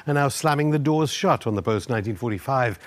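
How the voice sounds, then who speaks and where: A man presents and interviews in a broadcast style. His voice is of middling pitch. He builds long, wordy sentences with a pointed edge. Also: fast. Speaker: interviewer, show host.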